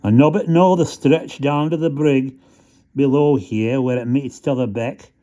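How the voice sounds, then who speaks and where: A man's voice: medium pitch, steady tone, unhurried, dark tone, voice pushed up, close to an everyday voice. A man voicing a friendly male water rat. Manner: broad dialect, homely.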